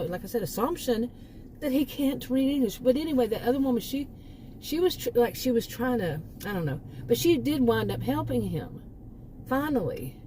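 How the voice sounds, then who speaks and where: A woman's voice: mid-range voice, dark tone, slight background noise, rough recording. A woman from Texas with a Texan accent, talking casually to her channel and rambling through restarts and asides. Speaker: channel host. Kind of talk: casual monologue.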